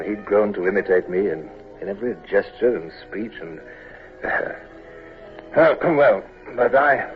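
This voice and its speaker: An actor playing a composed naval commodore who narrates and talks in a dry, thoughtful manner, in 1940s radio drama style. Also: male voice, sits low. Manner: dry, wry, thoughtful, questioning. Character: composed British naval commodore, grown man. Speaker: narrator and lead. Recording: tinny lo-fi old radio recording.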